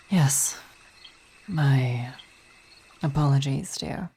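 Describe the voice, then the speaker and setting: A woman playing a weary vampire wife and coven commander, speaking in intimate ASMR roleplay with measured, hesitant, formal phrasing, tender yet imperious. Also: a bass voice, husky, full character voice. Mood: apologetic, tired. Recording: steady night insect ambience behind voice.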